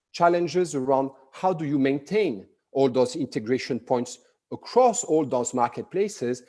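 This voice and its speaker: A middle-aged man with a thick French accent giving a prepared conference talk, measured and full of "uh" pauses. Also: mid-range voice, dark tone. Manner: measured, frequent "uh" fillers. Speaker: conference presenter.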